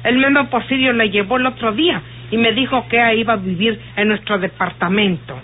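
A woman's voice: fairly high pitch, brisk, breathy edge. An actress playing a jealous, rustic woman confiding suspicions in a folksy, hesitant radio drama manner. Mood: aggrieved, confiding. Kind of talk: old radio drama acting. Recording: muffled tinny old radio recording.

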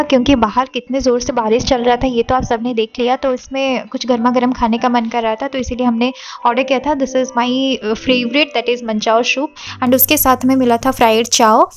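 A young woman food vlogger, chatting casually about a restaurant and its dishes, mixing English words into her talk with frequent "uh" pauses. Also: sits high, highs cut off. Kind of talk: casual restaurant review voice-over. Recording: music playing under the voice.

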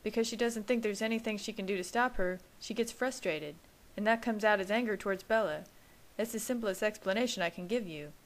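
A woman's voice: mid-range voice, fairly quick, natural voice. A woman voicing a sarcastic young man who teases his brother in a wry tone. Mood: teasing.

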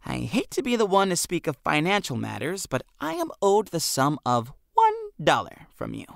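A man's voice: a little high, huge pitch swings, moderate pace, creaky, dry recording, clean studio sound, voice pushed up, full character voice. Man as a droll, mock-formal teenage boy. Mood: dryly reasoning. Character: droll teenage boy, pompous wheeler-dealer friend.

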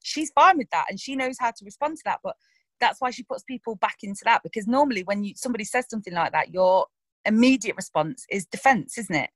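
A young actress talking casually in an interview about a character she played, animated and quick to act out quoted lines. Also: mid-range voice, no room sound.

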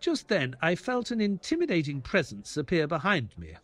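A man playing a detective's loyal assistant, narrating an audio drama in a measured storytelling way. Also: fairly high pitch, huge pitch swings, fairly bright tone, no echo, full character voice. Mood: measured, dramatic.

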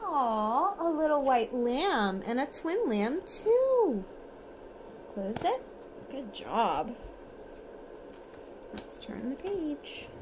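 A woman's voice: high voice, very animated, very slow, long pauses, background noise. A woman reads a picture book aloud to a toddler in an encouraging, sing-song way, as a mother does. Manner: sing-song, encouraging child-directed speech. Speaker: mother reading a bedtime story. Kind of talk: read-aloud storytelling to a toddler. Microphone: phone at arm's length.

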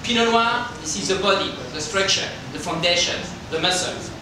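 A middle-aged man from France with a French accent, presenting a tasting to a group as a winemaker in halting, simply phrased non-native English. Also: high voice. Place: indoor hotel function room. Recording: roomy, distant microphone with background noise.

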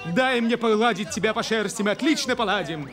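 Man as vain cartoon house cat, high-pitched, fussy, theatrical, music underneath. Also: brisk, natural voice. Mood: proud. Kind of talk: cartoon dub.

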